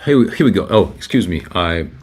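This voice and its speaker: An older man from Yugoslavia speaking as an interview guest on a video call, halting with many restarts and fillers. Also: deep voice, expressive, a little hiss or hum. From Yugoslavia, of Serbian origin. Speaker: guest, writer and translator. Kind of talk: conversational interview answer.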